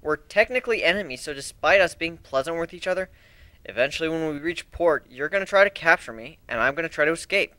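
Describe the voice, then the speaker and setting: A man's voice: on the high side, animated, quick pace, sharp tone, no echo. A man voicing a cocky, teasing young pirate in an amateur dramatic reading, smug and snarky as he explains himself. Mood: frank, bantering.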